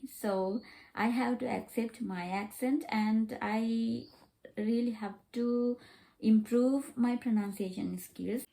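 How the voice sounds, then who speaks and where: A woman learning English as a second language, talking casually in a vlog, pausing with many fillers and repeating herself. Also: mid-range voice, warm tone, rough recording. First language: not English.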